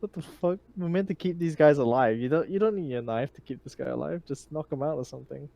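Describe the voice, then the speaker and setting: A man's voice: a little high, quick pace, creaky, dark tone. A man in casual chat as a player in a tabletop game, describing his character's moves.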